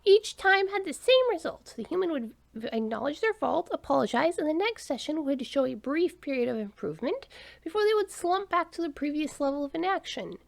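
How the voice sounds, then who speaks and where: A woman's voice: very animated, a little fast, creaky, full character voice. A woman voicing a small, anxious male alien scientist who explains himself nervously. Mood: defensive. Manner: nervous, high, defensive explaining.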